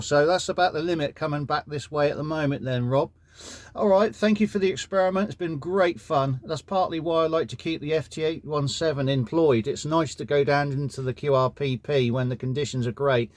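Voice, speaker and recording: A man's voice: fairly high pitch, fairly quick, no room sound. A man with an English accent chatting courteously as an amateur radio operator during a casual contact. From United Kingdom.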